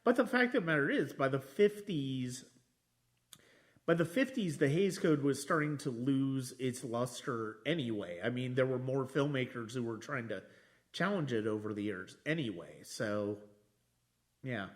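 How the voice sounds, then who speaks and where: A man hosting a casual film discussion, halting and repeating phrases as he thinks. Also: mid-range voice, lively intonation. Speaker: podcast host.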